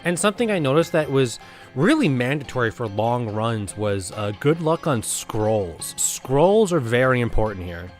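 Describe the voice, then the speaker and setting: A man's voice: medium pitch, big pitch swings, moderate pace, soft background music. A man hosting a casual video game review, talking in a blunt, rambling, conversational way.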